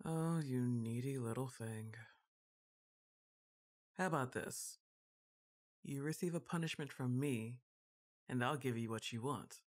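A woman playing a goth giantess witch girlfriend, exasperated and fondly bossy, with a drawn-out teasing delivery in an intimate ASMR roleplay. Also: extremely low pitch. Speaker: audio roleplay performer.

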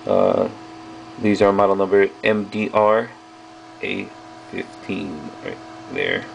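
A man giving a casual hands-on product review, speaking haltingly in short pieces as he handles things. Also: sits low, very animated, creaky, lo-fi sound, a little hiss or hum. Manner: halting, piecemeal delivery while handling items. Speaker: video host reviewing old electronics. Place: small indoor workroom at a bench.

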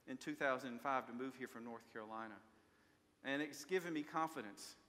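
A man's voice: on the high side, bright, forceful tone. An older man preaching a sermon from a church pulpit, telling stories earnestly and asking rhetorical questions. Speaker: pastor. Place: large church sanctuary at a pulpit. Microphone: pulpit microphone, far camera. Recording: long church reverb.

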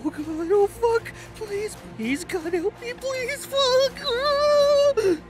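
A woman's voice: very high voice, very slow, music in the background, exaggerated voice. A woman voicing a desperate, terrified cartoon victim, crying and screaming for mercy. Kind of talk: melodramatic comic dub acting.

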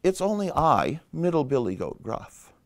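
Man as a coaxing, higher-voiced middle goat. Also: big pitch swings, a little slow, gravelly, exaggerated voice. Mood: coaxing, pleading. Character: medium-sized male billy goat, pleading, coaxing younger brother.

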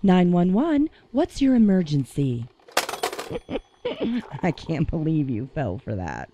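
A woman's voice: low-pitched, big pitch swings, slow, muffled recording, noisy background, exaggerated voice. A woman playing a composed, teasing deputy in her early sixties in an audio drama.

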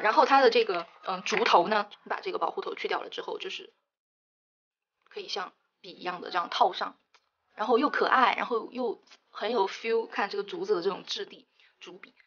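A young woman talks quickly and eagerly through a stationery unboxing, full of little fillers and delighted asides. Her voice sits at a middling pitch. The recording sounds a bit dull and lo-fi. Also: fairly bright tone, husky. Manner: chatty, enthusiastic, many fillers. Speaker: stationery vlogger. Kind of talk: casual unboxing narration.

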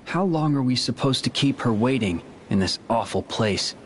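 An actor playing a brooding, caring young warrior in a video game, serious and subdued in manner. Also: male voice, mid-range voice, animated, moderate pace, slight background noise, close to an everyday voice. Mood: troubled. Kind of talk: video game cutscene acting.

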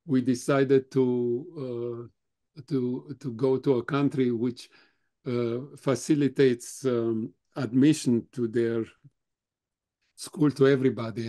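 Slow, halting older man, mellow voice. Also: mid-range voice. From Europe; grew up speaking German, Russian, Romanian. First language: German. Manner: slow, halting, many "uh" pauses. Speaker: Holocaust survivor guest speaker. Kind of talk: answering questions at a talk.

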